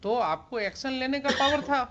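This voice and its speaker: A man's voice: very high voice, expressive, normal speed, fairly bright tone. A man with an Indian accent questioning someone in court as a judge, in an authoritative, interrogating manner. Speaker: judge questioning a police officer. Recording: muffled courtroom stream with clear noise.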